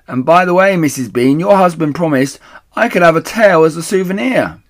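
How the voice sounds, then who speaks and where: A man voicing a huge, grumbling servant woman in a children's storybook, calling out loudly up the stairs. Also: on the high side, animated, normal speed, voice pushed up, close to an everyday voice. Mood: loud, asking.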